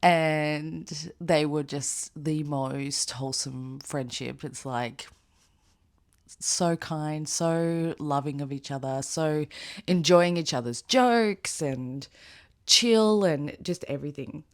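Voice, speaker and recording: A woman with a raspy, hoarse voice, chatting casually in a rambling vlog with fillers and laughs. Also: deep voice, slow, no echo, studio quality. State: hoarse after an event. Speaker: knitwear designer vlogger. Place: outdoors with birds nearby.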